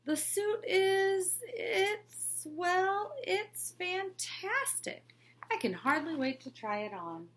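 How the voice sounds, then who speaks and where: A woman's voice: very high voice, big pitch swings, slow pace, fairly bright tone, voice pushed up, exaggerated voice. A woman reading aloud, voicing a nervous, fussy male school principal who stammers when flustered.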